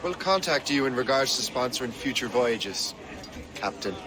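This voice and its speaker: A man's voice: mid-range voice, expressive, moderate pace, natural voice. An actor playing a brooding young male assassin turned sea captain in a dramatic video game, earnest and hard-edged. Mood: grave, businesslike.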